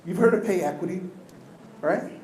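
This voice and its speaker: Energetic high-voiced male trainer, echoey room. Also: moderate pace, a little hiss or hum. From United States. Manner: energetic, asks the audience questions. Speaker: diversity trainer. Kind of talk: interactive training talk.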